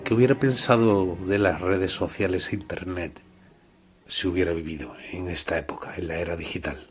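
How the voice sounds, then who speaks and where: A man's voice: low-pitched, moderate pace. A man hosting a scripted interview, putting questions and addressing listeners as presenter. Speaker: interviewer, host.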